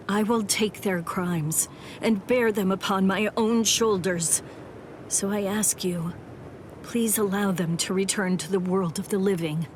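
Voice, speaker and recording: An actress playing a condemned young woman warrior in an anime game, selfless and resigned, with a solemn, deliberate manner. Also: mid-range voice, a little hiss or hum, natural voice. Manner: solemn, deliberate, softly resolute. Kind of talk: scripted anime game dialogue.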